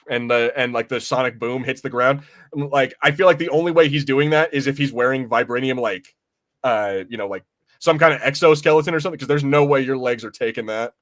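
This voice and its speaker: A man co-hosting a podcast, talking through movies casually in a halting, conversational way with some swearing. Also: mid-range voice, a bit bright, dry recording, studio quality. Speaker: podcast co-host. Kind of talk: casual movie review chat.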